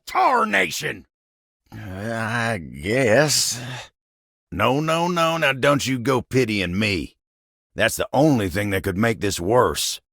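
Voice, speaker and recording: A living wooden table from a video game, gruff, proud and old-fashioned, with a gravelly Southern country drawl. He takes his time and his pitch swings widely as he grumbles, bashful and easily flustered. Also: a bit bright, no room sound, studio quality, heavily acted. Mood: embarrassed, reluctant. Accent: American Southern or rural Western drawl. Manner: folksy, grumbling, flustered, halting when embarrassed. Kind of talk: video game character voice acting.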